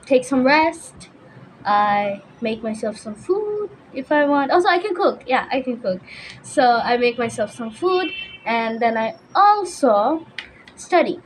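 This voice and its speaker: A woman's voice: a little high, a little hiss or hum, rough recording. A fifteen-year-old young woman, chatting casually as a YouTuber answering viewer questions. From Bangladesh, raised mostly in Dhaka. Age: teenager, fifteen.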